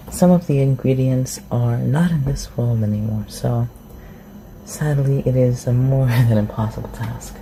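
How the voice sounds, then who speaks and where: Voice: mid-range voice, mellow, slight background noise, natural voice, poor audio. A performer in an ASMR roleplay voicing an ancient, reclusive shapeshifter, haughty and awkward, with formal, archaic phrasing. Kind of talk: ASMR roleplay, scripted one-sided dialogue.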